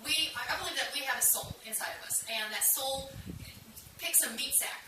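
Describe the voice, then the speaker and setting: Casting: woman in casual conversation, answering questions, heard from a distance with clear background noise. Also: sits high, a little fast. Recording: echoey room, distant mic, noisy background.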